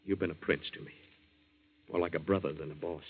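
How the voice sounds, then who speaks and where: A man's voice: low-pitched, steady tone, fairly quick, slightly rough. An actor playing a blunt, slangy, hot-headed young waterfront man with a decent heart in a 1940s radio drama. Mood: resigned, earnest. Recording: dull 1940s radio recording.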